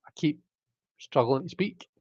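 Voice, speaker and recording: A man's voice: on the high side, lively intonation, unhurried, a little mellow, husky, no room sound, muffled recording. A man hosting a live stream, chatting casually and reading chat, rambling with many fillers and restarts while his throat keeps catching. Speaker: livestream host reading chat.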